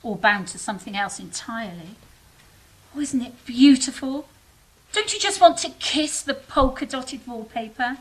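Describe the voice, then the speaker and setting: A woman's voice: a little high, animated, normal speed, lightly acted, low-quality recording. A woman playing a romantic, optimistic angel in an audio drama, effusive, playful and affectionate. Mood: delighted wonder.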